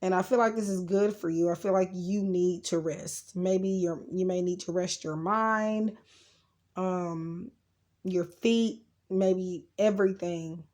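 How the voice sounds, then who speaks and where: A woman hosting a casual, unscripted tarot card reading in a rambling, informal way, her voice a little rough from being sick. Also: fairly low pitch, steady tone, takes it slowly, darkish tone. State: getting over sickness, slightly rough voice. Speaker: tarot card reader and channel host.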